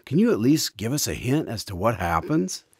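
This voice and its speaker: A man's voice: medium pitch, very animated, moderate pace, slightly rough, dry recording, studio quality. A man hosting and announcing a scripted radio drama, with guitar music behind him. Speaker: host and announcer.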